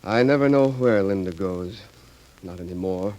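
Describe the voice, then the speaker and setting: A man playing an ailing old husband who hides his suffering in a radio drama. The voice is fairly low, weary, halting and subdued. The 1930s recording is clearly noisy. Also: a little mellow, natural voice. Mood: sad, hesitant.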